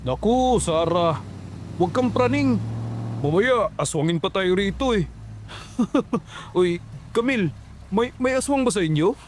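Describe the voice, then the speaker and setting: A man's voice: high voice, lively intonation, unhurried, long pauses, slight background noise. A man voicing a hungry, joking young man who whines and teases his friends on a road trip. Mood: teasing. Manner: whiny, teasing banter.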